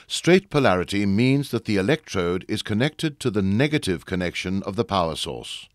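Deep-voiced male instructional narrator, very lively intonation. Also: no echo, clean studio sound. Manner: measured, formal explaining. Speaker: narrator of a training video. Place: close, dry studio voice-over.

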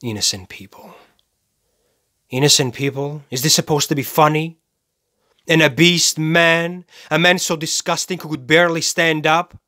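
An actor playing a cold, self-righteous serial killer in a dramatic film monologue, speaking deliberately and with menace, pausing for effect. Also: male voice, medium pitch, a bit bright, no echo, clean studio sound, lightly acted. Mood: indignant, contemptuous. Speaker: actor performing a movie monologue.

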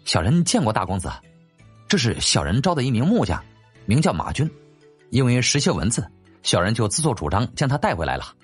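A man's voice: medium pitch, sing-song, a little fast, faint music behind, lightly acted. A man voicing an obsequious male servant in an audiobook, deferential and eager to please. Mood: fawning. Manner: fawning, deferential.

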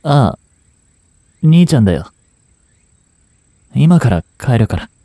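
A man in his twenties playing a remorseful, grieving young hitman in a voice drama, breathy and anguished. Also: mid-range voice, very animated, mellow, no echo, studio quality, full character voice. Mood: gentle, holding back. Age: 20s. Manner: anguished, halting, intimate. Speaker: voice actor. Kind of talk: Japanese voice drama monologue, emotional acting.

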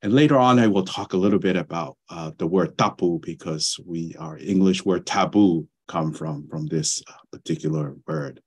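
A man's voice: sits low, normal speed, few pauses, darkish tone, slightly rough. A middle-aged man from Tonga with a Tongan accent, giving a lecture over a video call, speaking hesitantly with many fillers and restarts. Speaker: guest presenter, anthropology professor. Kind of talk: online lecture with slides. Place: home study with bookshelves.

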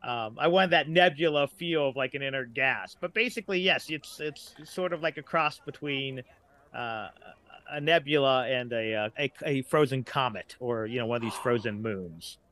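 A middle-aged man in casual podcast chat as a guest, rambling haltingly and repeating words as he explains. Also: medium pitch, a bit bright.

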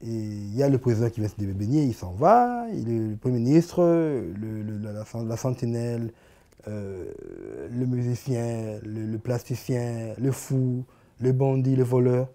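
A man in his forties with a Congolese French accent, answering interview questions in a living room, often stopping and repeating words as he searches for them. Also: medium pitch. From Congo (Kinshasa). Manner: halting, many repeated words and restarts, animated hand gestures. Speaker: artist guest.

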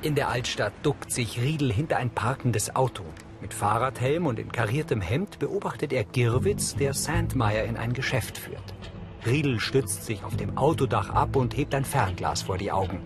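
A man's voice: medium pitch, lively intonation, moderate pace. Man narrating audio description over music. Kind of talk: scripted narration of a TV crime series.

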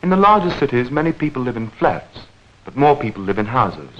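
A man narrating a 1940s educational newsreel in a measured, clearly enunciated, explanatory manner. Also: medium pitch, very animated, a bit bright, a little hiss or hum. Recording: dull vintage 1940s film soundtrack.

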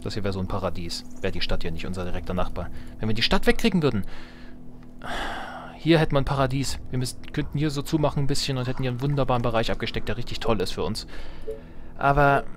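A man's voice: mid-range voice, steady tone, fast talker, soft background music. A man giving casual, playful let's play commentary, joking at himself and muttering asides as he plays. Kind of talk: casual gameplay commentary, talking to himself and viewers.

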